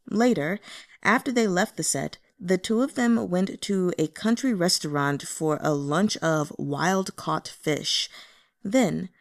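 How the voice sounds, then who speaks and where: Low-pitched woman reading a novel aloud. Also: darkish tone. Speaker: audiobook reader. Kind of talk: scripted novel narration.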